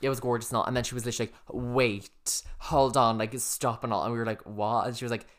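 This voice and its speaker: A man's voice: mid-range voice, flat delivery, fast, a bit bright. A young Irish man co-hosting a podcast, telling a travel story in casual, chatty talk full of swearing and "like". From Ireland. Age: young adult. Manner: chatty, swears a lot, lots of "like". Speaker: podcast co-host.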